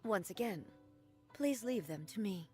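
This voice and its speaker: A woman voicing a regal, stern young goddess ruler in a game, measured and commanding. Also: medium pitch, expressive, darkish tone, faint music behind, lightly acted. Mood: solemn. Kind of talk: game voice acting.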